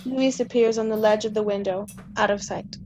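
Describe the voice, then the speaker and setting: A woman's voice: medium pitch, steady tone, moderate pace, noisy background. A woman giving scripted narration, reading out the stage directions of a play.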